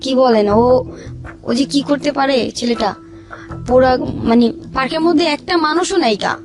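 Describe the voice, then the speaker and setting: A woman with a Bangladeshi accent and a fairly high voice recounts her own life in an informal way. She slips into quoted dialogue as she tells it, and music plays underneath. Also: moderate pace. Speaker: guest telling her life story. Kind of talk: informal personal storytelling.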